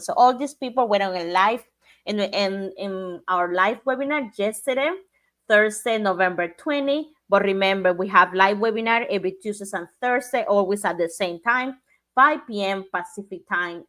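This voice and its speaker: A woman's voice: medium pitch, fairly bright tone. A woman from Cuba co-hosting a talk show, speaking plainly through lists of names. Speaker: podcast co-host.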